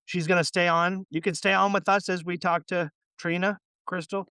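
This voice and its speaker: A man's voice: high-pitched, steady tone, normal speed, pushed and bright, smooth, no echo. A man hosting a podcast interview, loose and chatty with plenty of fillers. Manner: loose, chatty, lots of fillers and "you know". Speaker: podcast host.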